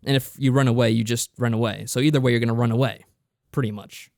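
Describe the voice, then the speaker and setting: A man's voice: mid-range voice, fairly even intonation, fast talker, a little mellow, no room sound, clean studio sound. A man co-hosting a podcast, explaining things in a casual, matter-of-fact review style. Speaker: podcast co-host reviewing visual novels.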